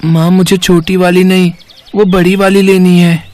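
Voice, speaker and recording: A man's voice: high voice, flat delivery, normal speed, a little mellow, faint music behind, natural voice. Timid poor little boy, played by a man. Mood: wistful. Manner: pleading, meek. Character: timid, innocent poor young boy, maid's son.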